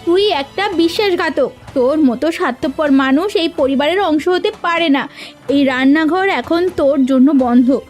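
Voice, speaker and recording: A woman's voice: sits high, moderate pace, faint music behind, lightly acted. A woman voicing a proud elder sister who runs a village kitchen, speaking in a stern, accusing way in a cartoon drama. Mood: angry, accusing. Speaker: voice actor.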